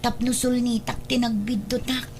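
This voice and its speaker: A woman's voice: fairly high pitch, level tone, normal speed, darkish tone, slight background noise, lightly acted. A young woman playing a meek, remorseful pregnant daughter pleading in a radio drama. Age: young adult.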